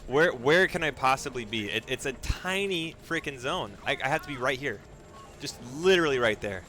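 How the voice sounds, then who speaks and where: Bright-voiced male game streamer over background noise. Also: medium pitch, lively intonation, moderate pace. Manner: self-narrating, repeats phrases, mild exclamations instead of swearing. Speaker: video game streamer and speedrunner. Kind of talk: live gameplay commentary.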